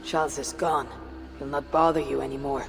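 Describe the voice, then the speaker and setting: An actor playing a resolute Viking clan leader in a game cutscene, speaking in a measured, formal way. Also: male voice, medium pitch, little pitch movement, gravelly, long reverb tail, soft background music, lightly acted. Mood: grave. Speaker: Viking jarl character.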